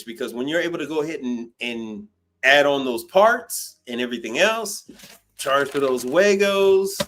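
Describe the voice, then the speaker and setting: A man in his fifties speaks off the cuff in a fairly high voice, with music behind him. He is blunt and emphatic, doubling back on phrases for punch and swearing freely as he hosts a livestream. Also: a bit bright. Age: 50s. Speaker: livestream host, commercial appliance service technician. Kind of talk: unscripted livestream talk answering viewer questions.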